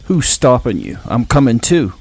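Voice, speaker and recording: A man's voice: mid-range voice, big pitch swings, normal speed, creaky, slightly rough, pitched above the performer's own voice, heavily acted. Man playing a cocky, blunt male mech pilot. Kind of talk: audio story character dialogue.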